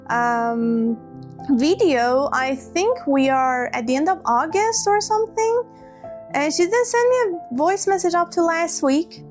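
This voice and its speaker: Woman, high voice, hurt, hesitant, restarts words, vlog storytelling. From Iran. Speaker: vlogger. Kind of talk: personal storytelling to camera.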